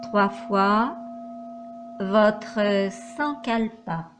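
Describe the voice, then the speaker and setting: Very slow-speaking woman guiding meditation over loud noise. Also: medium pitch. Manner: measured, pausing between short phrases. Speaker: yoga nidra instructor. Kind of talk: guided meditation, slow instructions in short phrases. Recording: dull lo-fi recording with loud background noise.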